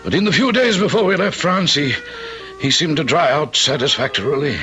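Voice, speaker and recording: An actor playing a concerned friend who tells the tale in a radio drama, earnest and formal. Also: male voice, fairly high pitch, a little fast, pushed and bright, muffled recording, faint music behind. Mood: worried, reflective. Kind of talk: old-time radio drama acting and narration.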